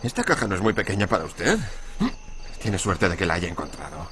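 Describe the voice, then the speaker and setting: A man's voice: sits low, lively intonation, fairly quick, background noise. A man acting in a radio play as a disturbed, mother-obsessed grave robber, childlike and pleading. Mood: creepy tender. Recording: music behind the voice.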